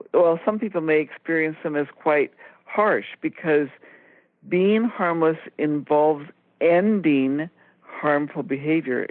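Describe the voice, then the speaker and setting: A woman co-hosting talk radio over a tinny phone line, explaining ideas in a measured, deliberate way. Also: low voice, no echo. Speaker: radio show co-host.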